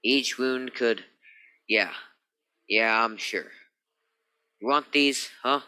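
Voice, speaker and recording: Man acting a sharp, bright, morbid morgue doctor. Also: mid-range voice, takes it slowly, clear, resonant tone, no room sound. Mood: grave, insistent. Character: nervous, morbidly musing male morgue medical examiner. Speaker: actor in a radio play. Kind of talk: theatrical radio-play dialogue.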